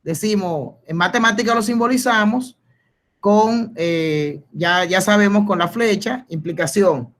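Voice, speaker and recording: A man with a Dominican accent gives an informal, halting explanation as a student in an online class, often repeating and rephrasing. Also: high voice. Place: video conference call.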